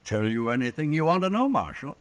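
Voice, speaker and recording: A man plays a scheming, affable frontier businessman in a radio western. His voice is very animated and glib, overly polite, captured close and dry. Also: medium pitch, a little fast, no room sound, natural voice. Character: smooth, genial, scheming frontier businessman villain. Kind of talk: 1950s radio western acting.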